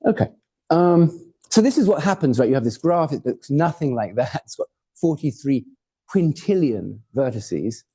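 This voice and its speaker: A man's voice: mid-range voice, sing-song, normal speed, dark tone, breathy edge. A man from Hawaii, raised in Portugal and England, giving an informal, digressive academic lecture with jokes and the odd swear word. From born in Hawaii, raised in Portugal and England. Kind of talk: academic talk with slides. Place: lecture hall.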